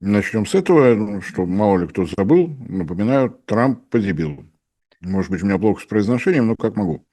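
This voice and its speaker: Middle-aged male analyst, low mellow voice, dry ironic delivery. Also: expressive, fairly quick. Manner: ironic, dryly mocking, acts out dialogues. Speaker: energy analyst guest. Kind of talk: sarcastic analytical commentary.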